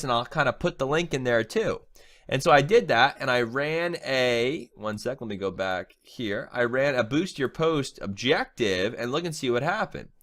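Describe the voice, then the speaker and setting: Young guy w a cold, kinda nasal, bright voice, casual chatty tutorial, keeps saying right?. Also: a little high. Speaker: online marketing trainer. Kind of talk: screen-share tutorial.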